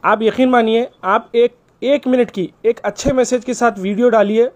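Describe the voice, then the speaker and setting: A man's voice: very high voice, fairly even intonation, normal speed. A man making an earnest, persuasive appeal on a recorded phone call, polite and deferential, repeating himself for emphasis. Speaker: caller.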